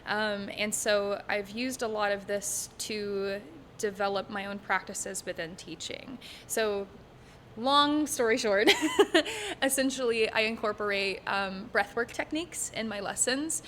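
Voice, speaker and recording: A woman's voice: medium pitch, measured pace, fairly bright tone, a little hiss or hum. A woman in her twenties from Texas, a music teacher being interviewed, talking casually with frequent ums and light laughs. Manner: chatty, laughs often, many ums. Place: bright living room set. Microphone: stand mic in front.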